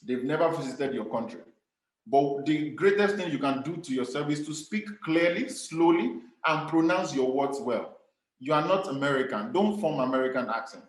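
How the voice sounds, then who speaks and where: A man's voice: fairly high pitch, lively intonation, normal speed, roomy sound, low-quality recording. A Nigerian man with a Nigerian accent giving an earnest, emphatic motivational talk to young people about communication, repeating his key phrases. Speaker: speaker on communication skills.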